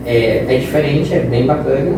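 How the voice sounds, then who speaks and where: A man's voice: medium pitch, moderate pace. Young male interview guest, explains with examples; roomy echo, mic at a distance. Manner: explains with examples and quoted phrases. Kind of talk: casual interview talk. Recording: echoey room, distant mic, noisy.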